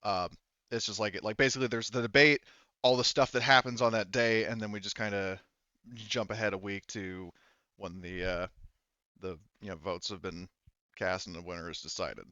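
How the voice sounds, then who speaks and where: Halting male game master, slightly muffled sound. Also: fairly low pitch, fairly even intonation, pushed and bright, clean tone, no echo. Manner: halting, rambling, casual swearing. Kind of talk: improvised tabletop game narration, casual chat.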